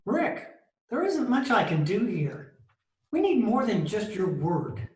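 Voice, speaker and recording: An actor playing a skeptical, businesslike homicide lieutenant in an old-time radio detective drama. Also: male voice, high voice, big pitch swings, slow pace, darkish tone, full character voice. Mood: resigned. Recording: roomy home remote recording.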